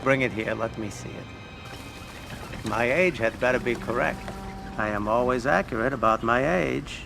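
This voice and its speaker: An actor playing a shrewd elderly male crime boss, making measured speeches in a video game. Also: medium pitch, vocal fry, music in the background. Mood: wry. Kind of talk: video game cutscene acting.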